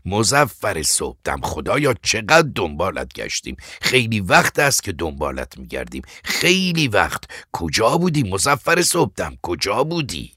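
A man's voice: low voice, pushed and bright, husky, no room sound, studio quality, heavily acted. A man voicing an officious arresting agent, emphatic and falsely soothing, in an audiobook. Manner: emphatic, repeats himself. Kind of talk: dramatic audiobook character acting.

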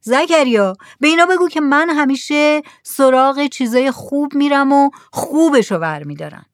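A woman's voice: high-pitched, expressive, no room sound, clean studio sound, pitched above the performer's own voice. A woman reading the lines of a demanding, dismissive male mullah in a story. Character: pushy bargaining male mullah.

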